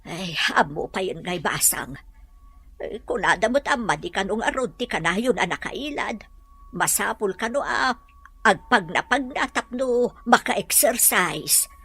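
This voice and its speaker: Husky older village mother played by an actress. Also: mid-range voice, animated, unhurried, sharp tone, heavily acted. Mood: concerned, advising. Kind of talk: Filipino Ilocano audio drama acting.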